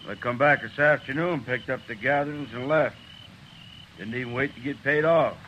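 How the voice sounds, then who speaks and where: An actor playing a surly, defiant trail boss who talks with scorn, in 1950s radio drama. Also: male voice, mid-range voice, normal speed, highs cut off, a little hiss or hum, close to an everyday voice. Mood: scornful. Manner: sneering, drawling, scornful.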